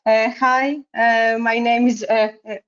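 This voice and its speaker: Woman, fairly high pitch, halting delivery, muffled call. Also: flat delivery, fairly bright tone. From Greece. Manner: hesitant, many uhs. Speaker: product manager. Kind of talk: self-introduction on a webinar. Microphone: video call. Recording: muffled video call audio.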